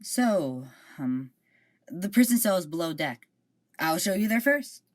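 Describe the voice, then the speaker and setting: A woman's voice: fairly low pitch, big pitch swings, measured pace. A woman voicing a hesitant, polite young officer who trips over her words in an amateur audio drama.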